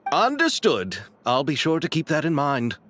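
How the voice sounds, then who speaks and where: A man's voice: fairly high pitch, wildly swooping pitch, moderate pace, a bit bright, a little hiss or hum. An actor playing a polite, earnest, mild-mannered young man in a video game, with a courteous, deferential manner. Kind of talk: video game character dialogue.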